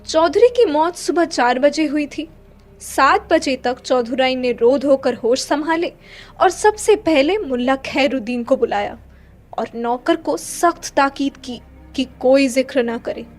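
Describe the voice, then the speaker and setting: High-pitched woman narrating a story. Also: lively intonation, a little slow. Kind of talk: dramatic literary story narration. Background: faint music.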